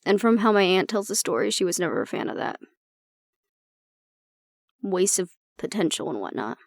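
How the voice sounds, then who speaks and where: A woman's voice: on the low side, big pitch swings, normal speed, creaky, dry recording, clean studio sound, heavily acted. A woman acting in an audio roleplay as an exasperated, blunt agent, talking intimately and sardonically to the listener. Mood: reflective, explaining. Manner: blunt, sardonic, conversational, swearing. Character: exasperated woman agent venting about her stubborn, disapproving father.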